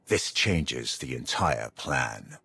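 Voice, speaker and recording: A man's voice: rumbling low, animated, slow, bright, forceful tone, no echo, heavily acted. An actor voicing a stern, impatient male warrior in a video game, formal, curt and commanding. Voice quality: raspy. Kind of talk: video game cutscene acting.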